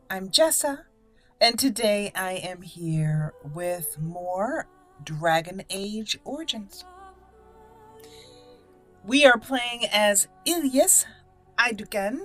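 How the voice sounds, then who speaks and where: A woman's voice: fairly low pitch, a bit bright. A woman hosting a gaming channel, giving let's play commentary with roleplay as she plays.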